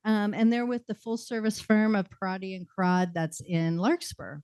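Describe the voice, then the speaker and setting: A woman's voice: medium pitch, level tone, normal speed, darkish tone, clear, resonant tone, no echo, clean studio sound. A woman hosting and moderating a panel, chatty and correcting herself along the way. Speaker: event host, moderator.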